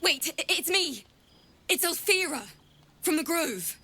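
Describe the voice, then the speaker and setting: A woman's voice: very high voice, lively intonation, unhurried, bright, forceful tone, heavily acted. A young woman voicing an earnest female bard who talks in an eager, heartfelt way. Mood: urgent.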